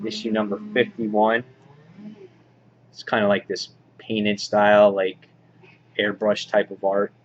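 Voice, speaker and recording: A man giving an informal, halting show-and-tell commentary as a hobby vlog host, in an understated way. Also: on the low side, flat delivery. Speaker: vlog host showing a comic book collection. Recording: dull lo-fi audio, clear background noise.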